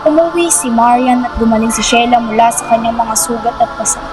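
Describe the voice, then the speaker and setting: A woman's voice: fairly high pitch, fairly even intonation, a little fast, over music, poor audio. A woman giving scripted narration for a radio drama.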